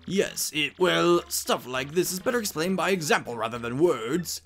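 A man voicing an elderly, pedantic, excitable professor creature in a video game, with a theatrical delivery. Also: a little high, moderate pace, soft background music. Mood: pedantic explaining.

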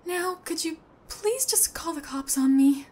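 A woman's voice: sits high, unhurried, a little mellow. A young woman playing a nervous, chatty, strange young female thief in an intimate ASMR roleplay, with a hesitant, rambling, awkward delivery. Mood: anxious, pleading.

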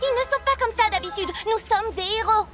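A cartoon superhero girl, earnest, upbeat, very high voice. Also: fairly quick, over loud music. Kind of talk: French cartoon dub.

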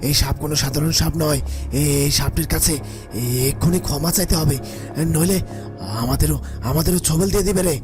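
A man's voice: a little high, steady tone, a little fast, pauses often, music in the background, lightly acted. A man playing a cowardly bandit in a fairy-tale cartoon, stammering and repeating himself. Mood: fearful. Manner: stammering, pleading, repetitive.

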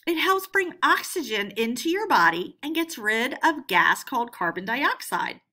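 Bright, lively woman teacher reading aloud. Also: high-pitched, moderate pace. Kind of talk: scripted educational read-aloud for children.

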